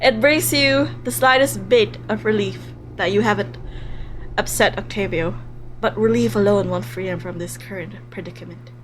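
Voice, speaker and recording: A woman livestreaming a visual novel, reading story text aloud and commenting on it in an animated, reactive way. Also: mid-range voice, moderate pace, a little hiss or hum. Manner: animated, reactive, giggly, drops into hushed narration. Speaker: streamer playing a visual novel live.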